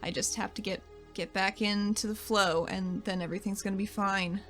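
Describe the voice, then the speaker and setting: A woman's voice: mid-range voice, steady tone, normal speed, fairly bright tone, faint music behind. A woman playing a tabletop roleplaying game, speaking informally as she describes and voices her character. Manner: conversational, informal. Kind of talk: casual roleplay and reactions.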